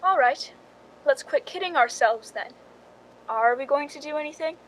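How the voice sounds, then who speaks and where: Actress as a cool, high-voiced scheming film stand-in. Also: female voice, expressive, normal speed, slight background noise, poor audio. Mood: cool, guarded. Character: wry, cool young woman, film stand-in and scheming narrator. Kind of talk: radio drama acting with confessional narration.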